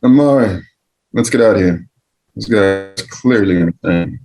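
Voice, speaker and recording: A man's voice: fairly low pitch, measured pace, poor audio. Blunt, suspicious protective brother read by a man. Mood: distrustful. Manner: blunt, confrontational. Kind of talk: screenplay table read.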